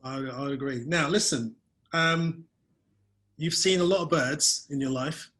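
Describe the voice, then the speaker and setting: A man's voice: on the high side, unhurried, fairly bright tone. A man hosting a video-call webinar, chatting in a friendly way and putting questions to his guest. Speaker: webinar host. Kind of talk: interviewing a guest.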